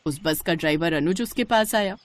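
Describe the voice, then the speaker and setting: A woman's voice: fairly low pitch, normal speed. A woman narrating a scripted moral story as a storyteller.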